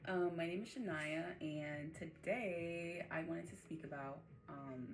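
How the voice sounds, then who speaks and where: A woman's voice: low-pitched, measured pace. A young woman from the Dominican Republic telling her life story in a rambling vlog, full of ums and likes. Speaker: vlogger. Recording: echoey room with faint noise.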